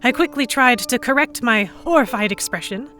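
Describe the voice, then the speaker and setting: A woman narrating a scripted first-person audio drama in an expressive, dramatic storytelling manner. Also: fairly high pitch, moderate pace, soft background music.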